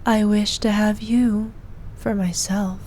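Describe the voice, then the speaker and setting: Lady playing evil queen for asmr, regal and possessive but doting, soft mellow whispery voice, bit of low rumble behind. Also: little pitch movement, natural voice. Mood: tender, possessive. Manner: regal, slow, archaic formal speech. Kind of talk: intimate ASMR roleplay acting.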